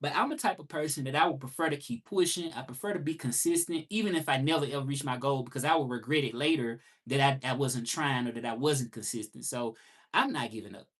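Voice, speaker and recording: A man's voice: mid-range voice, fast, fairly bright tone, slightly rough. Southern man, 30s, reflective livestream host, repeats key points. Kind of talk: casual live monologue.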